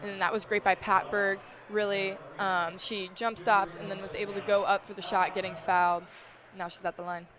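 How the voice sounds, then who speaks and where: A woman gives casual color commentary on basketball. Her mid-pitched voice stays very flat, with a clear crowd in the arena behind her. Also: normal speed. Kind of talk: casual game analysis.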